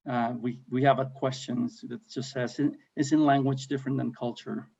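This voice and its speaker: A man's voice: mid-range voice, little pitch movement, moderate pace, gravelly, a little mellow, breathy edge, lo-fi sound. A man on a virtual panel, explaining a point hesitantly with many fillers.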